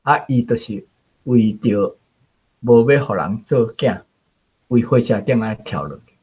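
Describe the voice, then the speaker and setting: Male literary commentator: Taiwanese accent, husky mid-pitched voice, conversational explaining, slightly dull recording. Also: measured pace.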